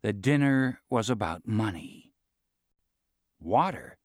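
A man narrating a first-person novel in a wry, measured storytelling manner. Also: mid-range voice, animated, no room sound, studio quality. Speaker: audiobook narrator.

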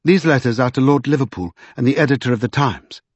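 A man's voice: mid-range voice, fast talker, breathy edge, no room sound, highs cut off, lightly acted. A man voicing a strong-willed, urgent aristocratic lady in an audiobook, with formal, emphatic old-fashioned speech. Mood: earnest, determined.

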